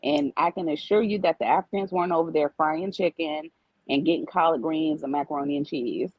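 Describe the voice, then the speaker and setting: A woman's voice: low-pitched, brisk, slightly rough, dry recording, muffled recording. A woman speaking as a talk show guest, earnestly making her case and repeating her points in casual discussion. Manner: explains at length, repeats points.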